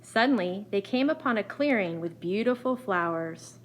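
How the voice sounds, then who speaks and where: A woman's voice: mid-range voice, lively intonation, moderate pace. Female storyteller for scripted fairy-tale narration, mid register, slight noise behind. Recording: faint background noise.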